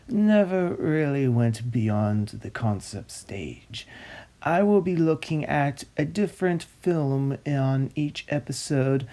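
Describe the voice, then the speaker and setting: Slow, droning male snobby narrator. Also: mid-range voice, dark tone. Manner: droning, mock-pompous, deliberate. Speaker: host, narrator of a web series. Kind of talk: comic scripted narration and script reading.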